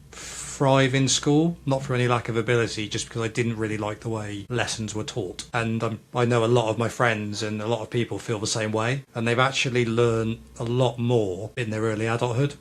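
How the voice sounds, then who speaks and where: A British man in his thirties co-hosting a casual podcast, rambling and hesitant as he works through his thoughts. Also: medium pitch. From Britain. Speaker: podcast co-host.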